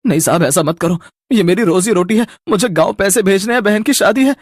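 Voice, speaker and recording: A man's voice: high-pitched, fairly quick, no echo, studio quality. A man voicing a poor, hardworking young street vendor in a cartoon story, speaking in an earnest, plaintive and emphatic way. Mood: worried, pleading. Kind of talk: Hindi cartoon story dubbing.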